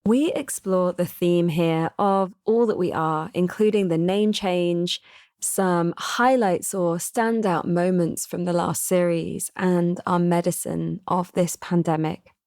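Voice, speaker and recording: A woman hosting a podcast, reading a scripted welcome in a calm, measured, earnest way. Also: lowish voice, dry recording, studio quality. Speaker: podcast host.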